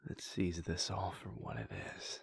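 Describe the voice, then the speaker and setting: Guy doing a brooding vampire prince, super low gravelly whisper, slow. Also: steady tone, dry recording, heavily acted. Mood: wistful. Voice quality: gravelly, breathy. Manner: soft whispering, hesitant restarts. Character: brooding male vampire prince, conflicted and introspective. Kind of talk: audio roleplay monologue.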